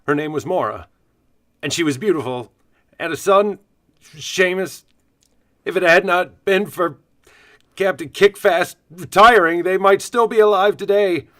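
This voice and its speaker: A man playing a bright, fatherly bartender. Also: high voice, unhurried, long pauses, dry recording, studio quality. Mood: mock grief. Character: gregarious fatherly male bartender to superheroes, wry and worldly. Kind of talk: comic radio play acting.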